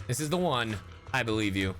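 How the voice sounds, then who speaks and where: A man's voice: mid-range voice, expressive, a little fast, a bit bright. A man livestreaming a game with casual, playful commentary, slipping into sing-song sounds and mimicry. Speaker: livestreaming gamer. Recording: faint game music behind.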